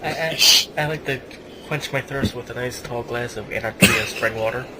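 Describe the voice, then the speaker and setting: A man's voice: mid-range voice, moderate pace. A man chatting casually on a podcast panel, joking and sometimes stammering. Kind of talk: casual group chat. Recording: noisy group podcast audio.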